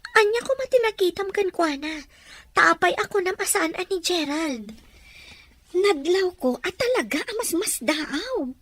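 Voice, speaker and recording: A woman's voice: very high voice, dry recording, full character voice. A woman playing a hurt, guarded young woman in an audio drama.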